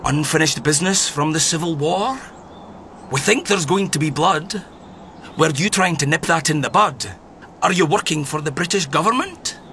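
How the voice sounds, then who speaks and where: An actor playing a pushy, wry 1920s newspaper reporter in a radio drama, probing and insinuating. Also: male voice, on the high side, normal speed, pushed and bright, slight background noise. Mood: needling, amused.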